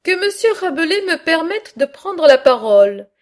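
A woman reading aloud the part of a sober, reserved male scholar in a philosophical dialogue, in a measured, earnest way. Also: very high-pitched, sing-song.

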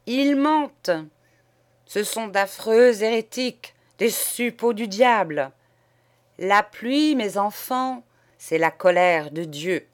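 A woman's voice: mid-range voice, animated, slow, voice pushed up. A woman voicing an agitated old male parish priest who preaches hellfire and sputters with outrage. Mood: preaching.

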